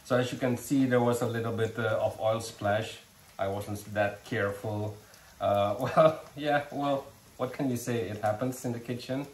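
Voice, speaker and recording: A man with a Dutch accent, Dutch his first language, explains a home recipe in a relaxed, halting way full of fillers and restarts. His voice is mid-pitched, and it rings around a roomy kitchen from a little way off the mic. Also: far from the mic, slight background noise. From Suriname. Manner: friendly, hesitant, many fillers and restarts. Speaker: cooking channel host. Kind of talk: casual home cooking demonstration.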